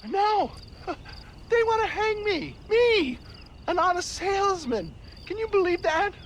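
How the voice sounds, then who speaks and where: A man's voice: very high-pitched, expressive, slow, a bit bright, heavily acted. An actor voicing a theatrical, slippery snake oil salesman in a video game, florid and wheedling with stammered evasions. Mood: indignant, pleading. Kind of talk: video game cutscene acting. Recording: faint outdoor background noise.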